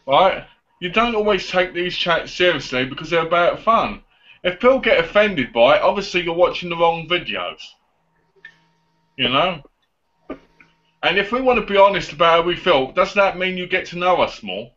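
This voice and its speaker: A man's voice: sits high, expressive, normal speed, fairly bright tone. A man in his twenties hosting a casual live online chat show. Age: 20s. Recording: dull lo-fi online call audio.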